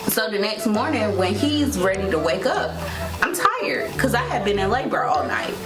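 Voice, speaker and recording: An American woman casually telling a personal story as co-host, with an animated, sassy way of talking. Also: mid-range voice, moderate pace. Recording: roomy echo under loud hip hop music.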